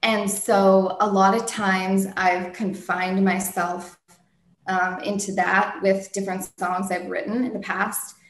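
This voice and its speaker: A woman, a singer-songwriter, answering interview questions in a soft soprano voice, thoughtful, with many ums and restarts. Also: medium pitch, little pitch movement, slow, roomy sound.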